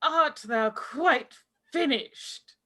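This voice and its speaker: A woman's voice: high voice, very slow, bright, forceful tone, full character voice. A woman playing a bossy, irritable witch who speaks in imperious, archaic phrases at a script table read. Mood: haughty impatience. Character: bossy, irritable witch, eldest of three sisters.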